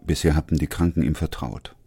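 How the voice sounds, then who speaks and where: A man acting a sober, measured doctor and narrator in a literary radio drama, calm, terse and matter-of-fact. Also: rumbling low, breathy edge, no echo, exaggerated voice. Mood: grave narrating. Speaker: narrator and lead actor. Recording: music behind the voice.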